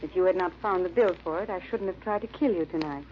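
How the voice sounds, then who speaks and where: An actress playing a cold, proud European countess and murderess in a 1940s-style radio drama. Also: female voice, fairly low pitch, quick pace, a little mellow, natural voice. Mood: cold, resigned. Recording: muffled, noisy old-time radio recording.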